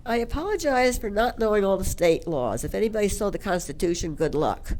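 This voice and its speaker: A woman with a medium-pitched voice challenges officials at a public meeting. She is insistent and pointed, with some faint room noise behind her. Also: lively intonation, brisk, darkish tone. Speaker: local resident at public meeting. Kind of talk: questioning from the audience.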